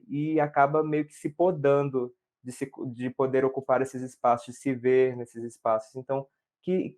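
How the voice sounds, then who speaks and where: A man with an Alagoas accent hosting a podcast, asking questions in a thoughtful, measured way. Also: mid-range voice, a little mellow. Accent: Alagoas, Brazil. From Brazil. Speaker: podcast host. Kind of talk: podcast conversation.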